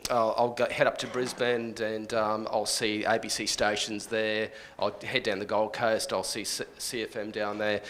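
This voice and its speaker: A man explaining his job informally on a panel, halting and often rephrasing as he goes. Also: on the low side, a bit bright, a little hiss or hum. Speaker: radio plugger on a workshop panel.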